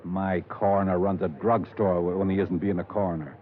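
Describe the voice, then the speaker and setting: A tinny old 1950s radio drama recording carries a low-pitched American man acting as a small-town police chief. He is weary and gruff, with a folksy, terse way of talking and a dry, sardonic authority. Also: fairly even intonation, fairly quick, close to an everyday voice. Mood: wry, grim.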